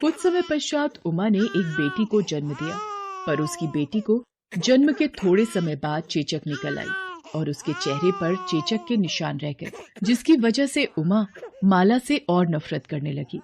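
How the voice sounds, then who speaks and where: A woman's voice: on the low side, very animated, a little slow. A woman reading scripted fairy-tale narration as the storyteller. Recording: clear background noise.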